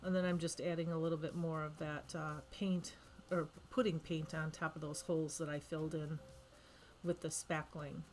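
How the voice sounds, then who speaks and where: A woman's voice: sits low, little pitch movement, moderate pace, soft background music. A woman with a cold, losing her voice, giving a rambling, chatty voice-over of a craft project, correcting herself often. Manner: chatty, rambling, self-correcting with frequent ums. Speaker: craft vlogger.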